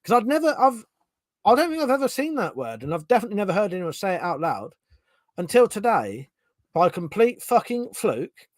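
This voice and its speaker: A man's voice: high voice, big pitch swings, brisk, no room sound. A middle-aged man chatting casually on a live stream, reading out chat messages, swearing freely and restarting his sentences.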